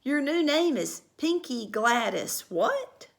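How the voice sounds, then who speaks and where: A woman's voice: high-pitched, big pitch swings, slow, gravelly, voice pushed up, heavily acted. A woman reading a storybook aloud, voicing a mild, dry-spoken grown-up dad.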